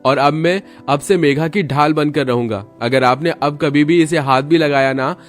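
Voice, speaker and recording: A man's voice: fairly high pitch, normal speed, faint music behind, lightly acted. A man voicing a stern, protective young husband in a cartoon story, firm and reproachful. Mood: stern, warning. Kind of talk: cartoon moral story acting.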